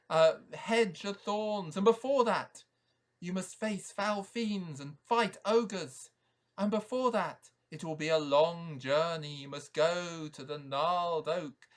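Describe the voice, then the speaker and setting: A man voicing an urgent storybook owl who gives insistent quest instructions with drawn-out hoots. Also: high-pitched, voice pushed up.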